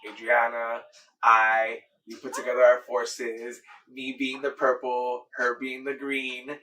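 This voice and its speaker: A man's voice: mid-range voice, completely flat delivery, slow, sharp tone. Enthusiastic man in his thirties, distant mic. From Miami, Florida, USA. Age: 30s. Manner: earnest, enthusiastic, repeats himself. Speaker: dancer and choreographer, guest. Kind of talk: casual interview answers.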